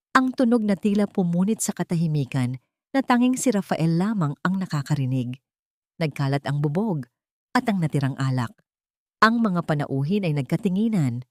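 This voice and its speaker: A woman with a Filipino accent narrating a scripted dramatic story in a solemn, measured and emotive way. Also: sits low, a little mellow, no echo, clean studio sound.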